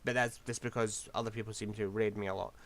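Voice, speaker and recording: Man, hoarse, lowish voice, scatterbrained rambling game commentary. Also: fairly even intonation, quick pace. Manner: rambling, scatterbrained, self-deprecating. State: losing his voice. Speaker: game streamer. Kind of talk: casual gameplay commentary.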